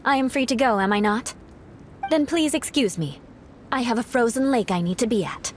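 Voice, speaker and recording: Aloof young noblewoman for a game, played by a woman: haughty, formal, fairly high, animated. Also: moderate pace, slight background noise, lightly acted. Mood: haughty, flustered. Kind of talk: video game character acting.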